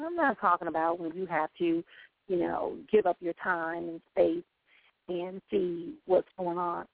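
A woman's voice: fairly low pitch, vocal fry, dry recording. A woman hosting a call-in radio show, talking in an encouraging, uplifting, preachy way. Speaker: host. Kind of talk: call-in talk radio, motivational. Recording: dry, tinny phone-like line.

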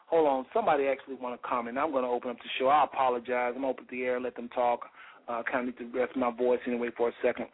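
A man with a slightly raspy voice hosting a radio show, preaching fervently with rhetorical repetition and reading scripture aloud. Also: medium pitch. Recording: phone-like radio call-in sound.

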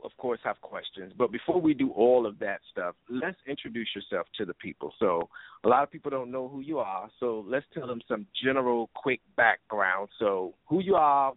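A man's voice: medium pitch, no echo, narrow, tinny audio. A man hosting an interview in an informal, conversational way, putting questions to a guest. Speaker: radio interviewer, host.

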